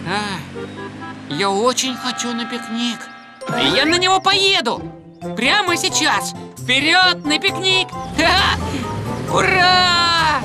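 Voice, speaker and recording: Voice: very high-pitched, animated, takes it slowly, full character voice. An actor voicing a cheerful, childish, eager little tow truck in a children's cartoon dub, naive and full of exclamations. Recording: music behind the voice.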